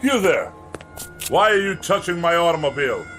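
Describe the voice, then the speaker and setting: An actor playing a fussy, indignant middle-aged gentleman writer in radio drama style, with haughty, florid, formal diction. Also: on the high side, expressive, moderate pace, soft background music. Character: pompous, fussy, indignant older gentleman writer who hates machines. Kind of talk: classic radio drama acting.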